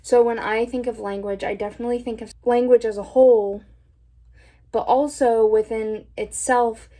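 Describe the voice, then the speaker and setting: A young woman from Indiana, a college student, talking through a prepared vlog segment in a steady, thoughtful way. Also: a little high, moderate pace. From Indiana, USA. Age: young adult. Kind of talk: scripted vlog talk.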